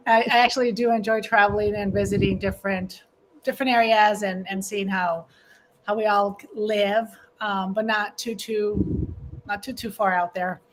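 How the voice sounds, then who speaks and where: Woman guest, easygoing and rambling, mid pitch, faint noise behind. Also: rough recording.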